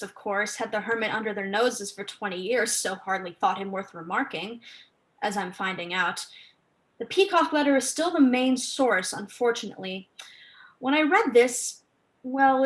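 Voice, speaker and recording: Stage play: woman performing a scornful woman author, articulate, higher voice, impassioned lecturer, assertive. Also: natural voice. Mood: eager, dry. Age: young adult. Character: assertive, scornful woman author researching landscape garden history.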